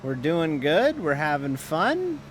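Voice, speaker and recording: A man's voice: fairly high pitch, big pitch swings, slight background noise. A man streaming a sim race, chatting casually and rambling between driving calls, often restarting his sentences. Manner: rambling, self-interrupting, quick to laugh. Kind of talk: casual live-stream chat while racing.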